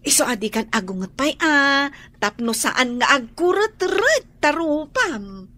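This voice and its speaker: An actress with an Ilocano accent playing a cheeky, talkative young housemaid in a radio drama. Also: female voice, high voice, sing-song, a little slow, sharp tone, full character voice. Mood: teasing.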